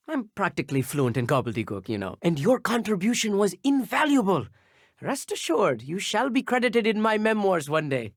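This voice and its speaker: An eager teenage schoolboy wizard for a video game. His pitch swings in an animated way and the sound is close and dry. He speaks formally and precisely, a nervous bookworm who likes to boast a little. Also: no room sound. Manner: articulate, formal, slightly pompous. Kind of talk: video game character dialogue.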